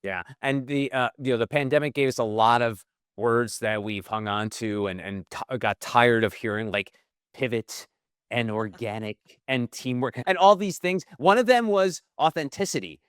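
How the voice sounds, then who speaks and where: A man's voice: medium pitch, moderate pace, fairly bright tone, clear, resonant tone, no room sound, clean studio sound. A man hosting a podcast interview, chatty and jokey as he asks his questions. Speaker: podcast host. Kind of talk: interview, casual chat.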